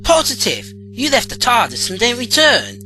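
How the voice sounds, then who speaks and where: An actor playing a loyal young male companion in an audio drama, speaking in a folksy, rough-grammared way. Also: mid-range voice, wildly swooping pitch, normal speed, pushed and bright, soft background music, poor audio. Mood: earnest.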